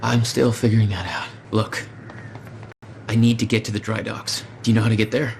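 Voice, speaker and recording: A low-pitched video game hero, blunt and bitter. He talks tersely and with resolve, over clearly audible vehicle and ambient noise. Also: little pitch movement, fairly quick, darkish tone. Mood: focused. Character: determined, bitter hero out to confront his former friend. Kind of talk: naturalistic video game acting.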